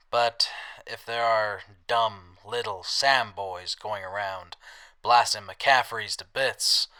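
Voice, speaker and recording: A man playing a wry, roguish wasteland drifter with a soft heart in an audio drama. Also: on the low side, clear, resonant tone.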